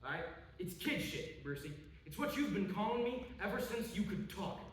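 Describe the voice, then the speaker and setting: A man's voice: high voice, expressive. An actor playing a short-tempered older brother who teases and mocks his sibling. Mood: teasing. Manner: mocking, quick to anger. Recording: echoey room, distant mic, noisy.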